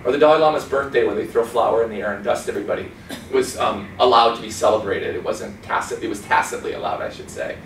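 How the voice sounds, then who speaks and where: A man's voice: on the low side, fast, slight background noise. A man in his forties giving an informal, chatty talk with slides, gesturing and mimicking voices as he tells stories. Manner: chatty and animated, gestures a lot, mimics accents. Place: small conference room. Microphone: far camera. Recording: roomy sound from a far camera.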